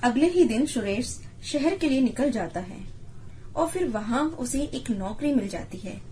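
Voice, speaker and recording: Female storyteller, higher register, scripted moral-tale narration, faint ambient noise behind. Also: animated, a little slow, warm tone.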